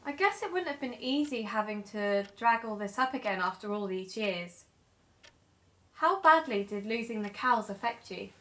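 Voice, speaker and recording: A woman's voice: medium pitch, expressive, moderate pace, sharp tone, dry recording. A woman playing an earnest, conscientious investigative podcaster in an audio drama. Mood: polite, careful. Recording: music bed under the voice.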